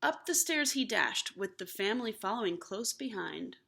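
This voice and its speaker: A woman reading a children's picture book aloud expressively, animated and slipping in hushed asides to the listeners. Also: medium pitch, normal speed, creaky, breathy edge. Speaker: storybook reader.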